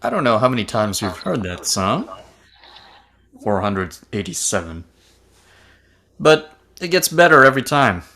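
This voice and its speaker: A man's voice: medium pitch, sing-song, a little slow, long pauses, bright, forceful tone, exaggerated voice. A man in an amateur table read playing an earnest, sentimental ghost of a husband. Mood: cheerful announcer. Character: earnest, sentimental male ghost reminiscing about his wife. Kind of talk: amateur table read acting over video call.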